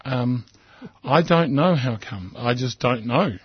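Hoarse Australian man, talk radio host, husky and dry, lo-fi sound. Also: medium pitch, slow, no room sound. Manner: hesitant, many ums, repeats words. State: hoarse, croaky voice. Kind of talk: casual talk radio commentary.

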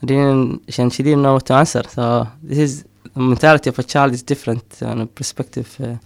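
Man, middle-aged, gravelly, dry close sound, hesitant with fillers. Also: medium pitch, fairly even intonation, a little fast, no echo. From Somaliland. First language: Somali. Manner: hesitant, many fillers, frequent laughs. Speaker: poet and storyteller. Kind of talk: casual interview talk.

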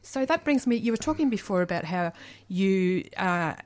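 A woman's voice: mid-range voice, expressive, moderate pace, a little mellow. A woman host with a mid-range voice who leads an interview. She talks in an inquisitive, conversational way and keeps probing her guest with questions.

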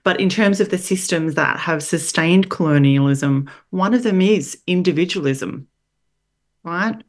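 A woman hosting a podcast interview, speaking in a reflective, measured way. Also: on the low side. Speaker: podcast host. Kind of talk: interview conversation.

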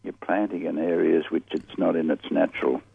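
A man with a croaky voice, chatting about plants on radio with many fillers and restarts. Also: very deep voice, steady tone, a little fast, no room sound, phone-quality sound. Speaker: horticulture expert guest. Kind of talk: casual radio chat.

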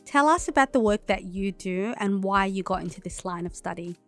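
A woman's voice: medium pitch, animated, fairly quick. Lady radio host reading her intro, bit of music faint underneath. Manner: scripted, clear presenting. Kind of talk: community radio current affairs hosting.

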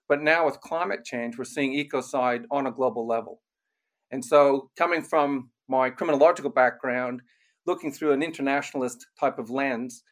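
An older man raised in Canada, an author and criminologist, giving measured, explanatory interview answers. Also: medium pitch.

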